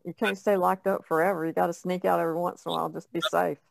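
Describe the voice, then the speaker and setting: A woman's voice: on the low side, flat delivery, fast talker, gravelly, no room sound. An older American woman chatting casually on a podcast in a wry, plain-spoken way. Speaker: podcast panelist. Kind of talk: casual chat.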